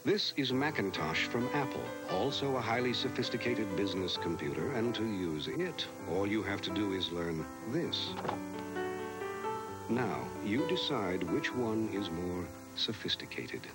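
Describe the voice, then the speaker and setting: A man's voice: on the low side, animated, a little slow, over loud music. An American man reading scripted narration for a television commercial.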